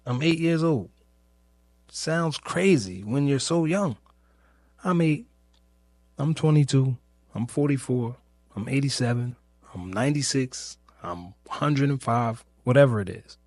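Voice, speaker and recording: Slow, halting man thinking aloud. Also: mid-range voice, animated, a little mellow, no room sound. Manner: halting, searching, with long pauses and repeated phrases; informal, rhetorical questioning. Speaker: podcast host. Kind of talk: reflective personal monologue about grief.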